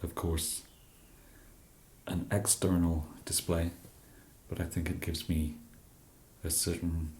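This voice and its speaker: English man, ASMR roleplay, deep mellow voice, roomy echo, faint noise. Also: flat delivery, slow pace, pauses often. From England. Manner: soft-spoken, hesitant with many fillers. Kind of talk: ASMR roleplay as a shop genius.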